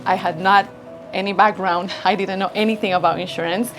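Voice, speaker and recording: Woman founder, 30s, from Ecuador, warm grateful interview talk, music under her. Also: medium pitch, steady tone, brisk, rough recording. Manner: earnest, grateful storytelling. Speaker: business founder. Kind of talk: interview answers. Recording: background music bed.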